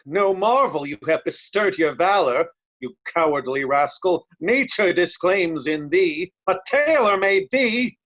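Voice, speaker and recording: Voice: medium pitch, animated, unhurried, a bit bright, dull audio. An actor playing a blunt, hot-tempered old loyalist in Shakespearean reader's theatre, scornful and emphatic, piling up insults. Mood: indignant, insulting.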